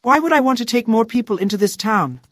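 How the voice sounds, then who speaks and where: A woman voicing a proud, brusque dark elf military commander in a fantasy game, stern, formal and impatient. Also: a little high, lively intonation, quick pace, no echo. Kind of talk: scripted fantasy game dialogue.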